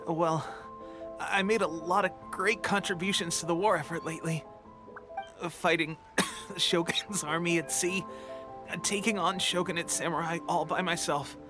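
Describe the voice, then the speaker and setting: An actor playing a young male resistance soldier, weak and coughing, talking in an earnest, halting way. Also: a little high, lightly acted. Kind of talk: game voice acting. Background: game music.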